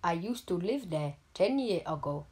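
A gaming YouTuber with an Indian accent, giving deadpan, halting comedic commentary over mobile gameplay. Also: female voice, on the low side, slightly rough. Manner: deadpan, halting, joking persona.

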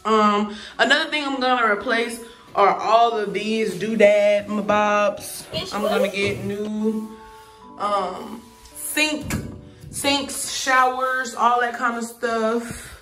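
A woman's voice: medium pitch, steady tone, slow pace, fairly bright tone, soft background music. A woman vlogger giving a casual, chatty tour of her new apartment, talking straight to her viewers with informal slang while filming on a phone. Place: empty apartment indoors. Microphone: phone camera held while walking.

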